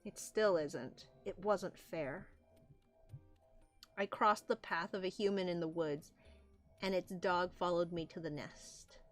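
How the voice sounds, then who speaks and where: Woman playing a slow, sorrowful mother rabbit. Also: lowish voice, soft background music, pitched below the performer's own voice. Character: weary, forgiving mother rabbit scarred by loss.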